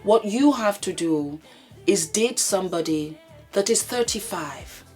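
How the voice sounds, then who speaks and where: A woman's voice: mid-range voice, expressive, unhurried, a little mellow, breathy edge, faint music behind. A woman in her fifties hosting a casual advice vlog to camera at home, with theatrical, affectionate greetings and laughs. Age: 50s. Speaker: vlogger host. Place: home, indoors. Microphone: camera mic.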